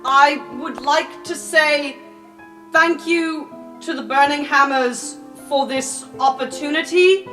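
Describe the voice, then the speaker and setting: A woman's voice: high-pitched, slow, a bit bright, soft background music. A woman voicing an awkward, earnest young male student who gives a formal thank-you speech. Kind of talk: improvised tabletop roleplay.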